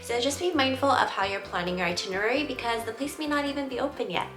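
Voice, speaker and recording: A woman's voice: fairly high pitch, quick pace, roomy sound, music in the background. A young American woman giving friendly, upbeat travel tips in a casual vlog. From United States. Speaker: travel vlogger.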